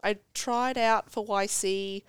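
A young woman with a congested cold, chatting as a podcast guest, self-deprecating and quick to tell stories. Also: medium pitch, steady tone, clean tone, dry recording, clean studio sound. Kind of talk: casual chat. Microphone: studio podcast mic.

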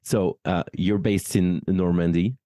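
Deep, mellow French-accented man, interviewing. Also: fairly even intonation, measured pace, no echo, clean studio sound. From France, raised in Paris. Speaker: podcast host, interviewer. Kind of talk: casual conversational interview.

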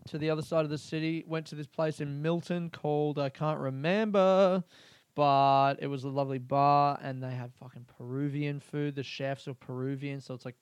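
A man's voice: mid-range voice, clear, resonant tone, dry recording. Crude, laughing man hosting a podcast. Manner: crude, swears a lot, laughs often; animated, rambling storytelling. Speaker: podcast host. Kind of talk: casual comedic podcast monologue.

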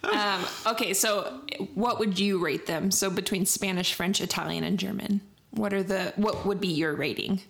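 A woman's voice: mid-range voice, fairly even intonation, moderate pace, vocal fry, few pauses, fairly bright tone. A young woman in casual chat, talking in a teasing, chatty way and laughing as she talks.

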